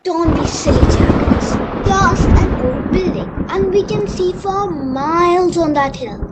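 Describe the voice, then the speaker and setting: A woman's voice: extremely high pitch, unhurried, heavy background noise. A female performer playing a bossy, sensible young girl in an amateur radio play, scolding and matter-of-fact. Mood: insistent. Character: bossy, sensible young girl, impatient older-sister type.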